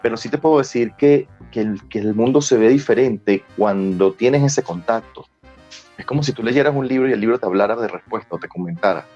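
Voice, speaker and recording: A man's voice: mid-range voice, a little fast, dark tone. A man with a Venezuelan accent answering interview questions in an emphatic, explanatory way. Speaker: guest, screenwriter and former child actor. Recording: dull lo-fi sound with music behind.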